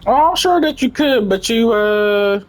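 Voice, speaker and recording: A man's voice: very high-pitched, animated, unhurried. A man giving casual commentary as he plays through a video game story, reading along and reacting. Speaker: gamer reacting to a story quest.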